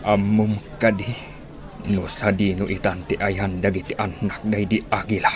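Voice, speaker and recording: A man's voice: fairly low pitch, flat delivery, moderate pace, a crowd in the background, natural voice. A man playing a defiant, insistent old man in an Ilocano radio drama.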